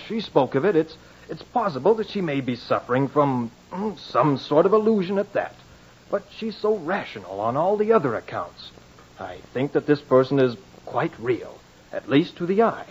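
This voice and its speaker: An actor playing a thoughtful, fussy doctor in an old-time radio drama, husky, hesitant and musing. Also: male voice, on the high side, a little mellow. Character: thoughtful, fussy French country doctor, puzzled and reassuring. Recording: muffled old radio recording.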